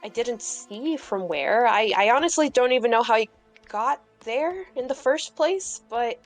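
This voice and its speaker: A woman's voice: fairly high pitch, expressive, unhurried, faint music behind. A woman playing a tabletop roleplaying game, improvising casually and thinking aloud in a hesitant, informal way.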